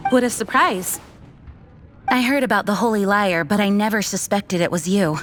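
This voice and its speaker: An actress playing an earnest, dutiful young knight commander in a video game, speaking formally and carefully. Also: female voice, medium pitch, moderate pace, fairly bright tone, a little hiss or hum, lightly acted.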